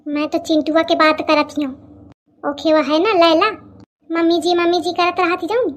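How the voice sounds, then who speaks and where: A woman's voice: extremely high pitch, flat delivery, moderate pace. A woman voicing a plump middle-aged village mother-in-law in an Awadhi cartoon comedy, scolding, gossipy and suspicious, with an Awadhi accent. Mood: suspicious, nagging.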